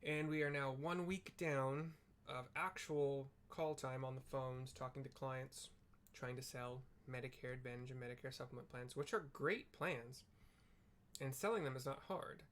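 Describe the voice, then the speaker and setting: A man's voice: medium pitch, steady tone, normal speed, a bit bright. A man giving informal, wry and self-deprecating gameplay commentary mixed with personal chat. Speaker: gaming streamer and narrator. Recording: clear background noise.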